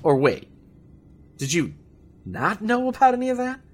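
A garrulous old rebel in an audio drama. His talk is folksy and theatrical, with pitch that swings about freely as he schemes and holds forth. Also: huge pitch swings, normal speed, full character voice. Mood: rousing, eager. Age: older. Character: jovial old male revolutionary, garrulous and scheming.

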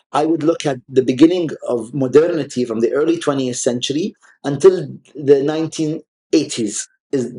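A man's voice: mid-range voice, dark tone. A man whose first language is Arabic, speaking as an art collector guest in a conversational interview, with frequent restarts and fillers. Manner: hesitant, many restarts and fillers.

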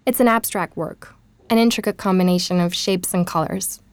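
A woman's voice: on the low side, moderate pace, clear, resonant tone, close to an everyday voice. An actress playing a curious, candid woman podcaster, narrating an audio drama like a conversational, reflective diary. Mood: explanatory. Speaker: narrator and interviewer.

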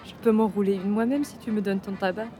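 Mellow young French woman, played by an actress. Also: mid-range voice, steady tone, fast, close to an everyday voice. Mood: casual, asking. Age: young adult. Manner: casual, hesitant, searching for German words. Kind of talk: intimate audio drama acting.

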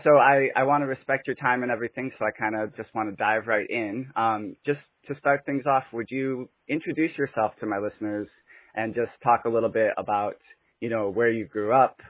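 A man's voice: on the low side, breathy edge, no echo. A man hosting a podcast asks questions in a laid-back, casual way, with plenty of fillers. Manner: laid-back, friendly, fillers and "you know". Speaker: podcast host. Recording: dry, tinny phone-like sound.

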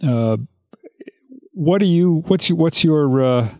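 A man's voice: on the high side, animated, slow, darkish tone, no echo. A male radio host welcoming listeners back and putting a question to his guest, hesitating and repeating words. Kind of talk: interview questions.

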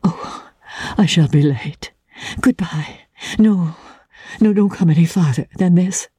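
A woman reading a dramatic audiobook, voicing an anguished, principled lady who refuses her lover in a breathy, distressed but resolute manner. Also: on the low side, slow, dark tone, dry recording, exaggerated voice. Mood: hurried farewell.

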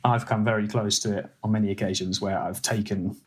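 A man's voice: lowish voice, fairly even intonation, fairly quick. A young man, a food editor and ex-baker, explaining casually with lots of "like" and restarts. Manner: rambling, hedging with "like" and restarts.

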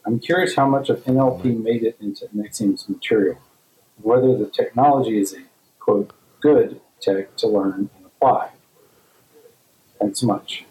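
Mellow man leaving a voicemail question. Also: fairly low pitch, normal speed, poor audio. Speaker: podcast listener leaving a voicemail.

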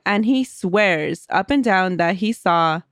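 A woman's voice: fairly low pitch, animated, slow, dry recording, studio quality. A woman co-hosting a podcast, chatting casually, laughing often and reading a listener's story aloud. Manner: giggly, chatty, reads aloud with asides. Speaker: podcast co-host.

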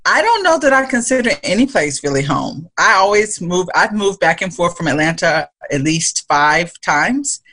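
A woman's voice: fairly low pitch, very animated, normal speed, hardly any pauses, fairly bright tone. A woman in her forties from Mississippi, answering interview questions in a rambling way, searching for words. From Mississippi, USA. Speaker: podcast guest.

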